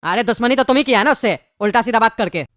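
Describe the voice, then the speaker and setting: A high-voiced performer playing a querulous, haggling pumpkin-man prisoner in a comedy cartoon, with Bihari-style Hindi. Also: rapid-fire, a bit bright, dry recording, clean studio sound, soft background music, natural voice. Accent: eastern Indian (Bihari-style) Hindi. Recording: clearly noisy background.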